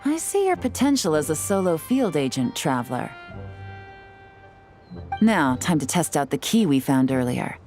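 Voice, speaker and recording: An actress playing a cool, self-assured female investigator in a video game, a shrewd mentor with a measured, knowing delivery. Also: low-pitched, big pitch swings, darkish tone, faint music behind. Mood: approving.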